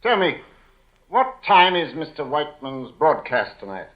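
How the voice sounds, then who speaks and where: Brisk, animated father, old radio. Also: on the high side. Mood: brisk, kindly. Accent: American. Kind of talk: 1940s radio acting. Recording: muffled 1940 radio broadcast.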